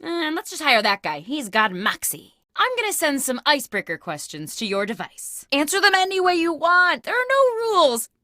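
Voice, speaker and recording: Casting: comic game boss, self-important and oblivious, highish voice, wildly animated phone manner, dry close recording. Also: female voice, moderate pace, hardly any pauses, dry recording, full character voice. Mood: brisk, bossy, then brisk, instructing. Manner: bossy, exaggerated, theatrical delivery. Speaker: video game character host. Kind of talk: comic scripted phone monologues.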